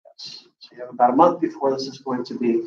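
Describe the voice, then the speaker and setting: An American man giving an informal classroom explanation as a college instructor, easygoing and hesitant, pausing mid-sentence. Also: mid-range voice, darkish tone, slight background noise. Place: classroom with students. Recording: echoey room, distant muffled mic.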